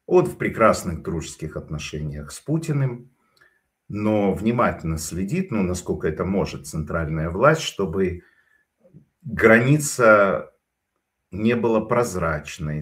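A man giving casual spoken commentary, telling stories in a digressive way with frequent hesitations. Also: deep voice.

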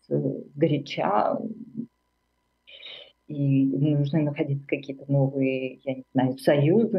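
A woman's voice: deep voice, highs cut off. A middle-aged woman from Armenia, a writer speaking as an interview guest in a reflective, searching way, full of fillers and self-corrections.

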